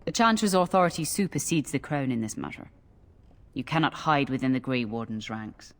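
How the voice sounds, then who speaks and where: An actor playing a self-righteous, menacing templar knight in a fantasy game, speaking formally with haughty accusation. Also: male voice, mid-range voice, expressive, fairly quick, a bit bright, close to an everyday voice. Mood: stern, accusing. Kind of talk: fantasy game dialogue acting.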